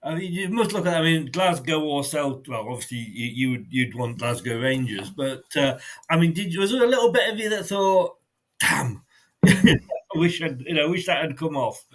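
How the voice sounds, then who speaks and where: A man's voice: a little high, animated, normal speed. A man from England hosting a fan-channel interview, upbeat and jokey, laughing often between his questions. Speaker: host. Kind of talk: casual interview.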